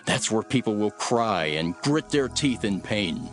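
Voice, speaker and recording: Firm wise teacher from the bible, low voice, emphatic, soft music behind. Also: male voice, lively intonation, measured pace. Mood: grave storytelling. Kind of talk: dramatised Bible storytelling.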